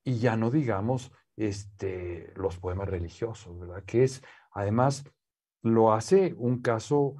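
Slow, mellow Mexican man. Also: lowish voice. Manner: slow, many "este" fillers and restarts. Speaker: guest, poet's nephew and scholar. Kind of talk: relaxed conversational interview. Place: living room with bookshelves, seated.

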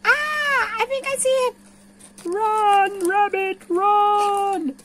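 Woman doing a high, frantic little rabbit puppet. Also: steady tone, drawn-out words, very noisy, full character voice. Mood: frantic, alarmed. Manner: exclaiming, singsong, urgent.

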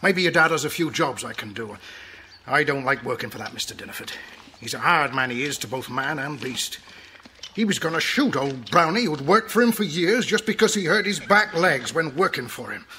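An actor playing a kindly, anxious old farm worker with a hesitant, rustic way of talking in a children's audio drama. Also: male voice, fairly high pitch, animated, normal speed, bright, forceful tone. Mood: worried. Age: older. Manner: rustic, hesitant turns of phrase. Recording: clearly noisy cassette transfer.

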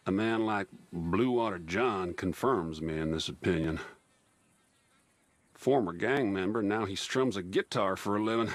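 Slow, low-voiced, pompous western henchman. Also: dry recording, heavily acted. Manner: measured, dry, pompous. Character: cold, formal western henchman issuing contracts. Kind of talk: video game cutscene monologue.

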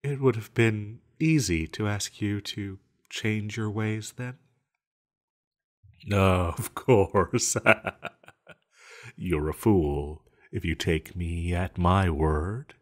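Man voicing a scheming exiled male villain, low pitch, unhurried drawn-out delivery, teasing, theatrical, audio drama. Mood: feigned regret, then sly, calculating. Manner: slow, teasing, theatrical, with lingering pauses. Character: banished male sorcerer villain, sly, seductive, scheming. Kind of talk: scripted audio roleplay, addressed to the listener.

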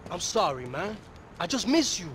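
A young male street dope dealer from a video game, speaks in a high-pitched voice. He is envious, sarcastic and foul-mouthed, full of streetwise slang. Faint traffic noise sits behind him. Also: very animated, moderate pace, a bit bright. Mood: pleading, persuasive. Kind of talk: video game cutscene acting.

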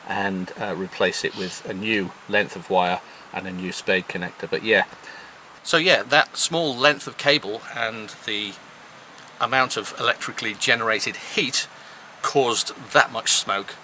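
A man's voice: on the low side, pushed and bright, a little hiss or hum, poor audio. A man in his fifties explaining things casually to camera as a sailing vlog host. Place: outdoors at a marina and aboard a sailboat.

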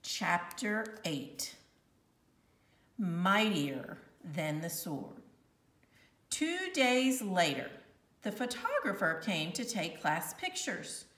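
A woman narrating a children's novel aloud, with expressive, emphatic, deliberate phrasing. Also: medium pitch. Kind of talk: read-aloud storytelling. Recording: roomy, slightly distant home recording.

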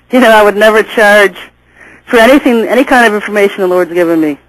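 A woman's voice: mid-range voice, moderate pace, dry recording, poor audio. A woman hosting a call-in radio show, chatty and laughing easily, often restarting her sentences.

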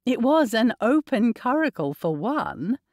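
Woman doing a spirited young bride, animated pitch, slow, playful, dry sound. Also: dry recording. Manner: lively, playful, indignant at times.

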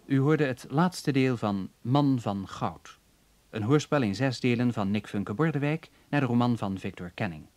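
A man's voice: medium pitch, normal speed, darkish tone. A man reading scripted credits as a radio announcer.

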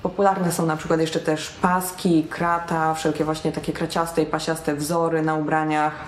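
A woman's voice: low-pitched, level tone, moderate pace, slight background noise. Chatty young woman in a roomy room. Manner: chatty, self-mocking, with English slang. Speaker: alternative fashion vlogger. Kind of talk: casual talk to camera. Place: small bright room indoors. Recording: roomy echo.

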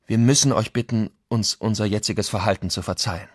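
A man's voice: fairly low pitch, fairly even intonation, fairly quick, no room sound, studio quality, natural voice. A man playing a Spanish conquistador who recounts events in a formal memoir for an audio documentary. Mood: solemn, pleading. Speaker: reader of historical quotations. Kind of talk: dramatic reading of old chronicles.

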